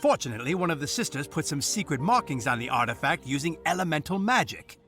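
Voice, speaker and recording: A man's voice: a little high, expressive, fast talker, a bit bright, soft background music. An actor playing a formal young male knight officer in a game, stiff and by-the-book in how he speaks. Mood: explaining.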